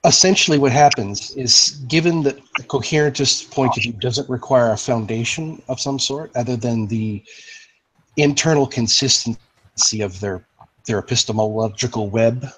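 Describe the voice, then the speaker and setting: A man's voice: mid-range voice, moderate pace, a bit bright, slight background noise, poor audio. A man moderating an online voice-chat discussion, explaining in long philosophical sentences.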